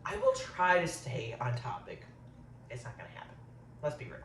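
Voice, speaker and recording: A man's voice: medium pitch, wildly swooping pitch, normal speed, fairly bright tone. A man in his thirties chatting casually while eating on camera, rambling and saying um a lot. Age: 30s. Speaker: vlogger. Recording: roomy, distant, faint hiss.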